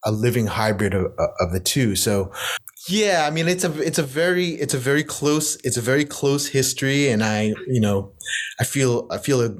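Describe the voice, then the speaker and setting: An American man in casual conversation, speaking at an ordinary middle pitch. He thinks aloud, circling back over his phrases with small restarts and soft laughter. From United States. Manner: reflective, halting with frequent restarts and laughs. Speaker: poet and guest.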